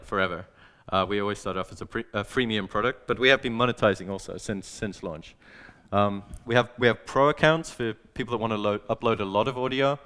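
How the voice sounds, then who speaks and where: A young man gives a relaxed, conversational talk to an audience as a conference speaker. His voice sits a little low and sounds smooth and resonant. He often fills pauses and restarts his phrases. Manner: conversational, many fillers and restarts. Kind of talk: casual presentation talk.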